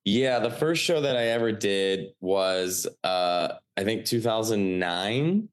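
A man's voice: on the low side, expressive, takes it slowly, clear, resonant tone. Chatty Chicago-accented man in his thirties. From Chicago area. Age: 30s. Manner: loose, chatty, full of "like" fillers. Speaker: stand-up comedian, podcast guest. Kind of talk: casual podcast chat.

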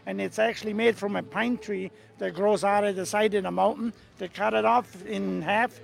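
An older man from Switzerland with a Swiss accent, an alphorn player answering questions in a friendly, plain-spoken, halting way at an outdoor festival. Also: high-pitched, fairly even intonation, gravelly. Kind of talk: casual outdoor interview.

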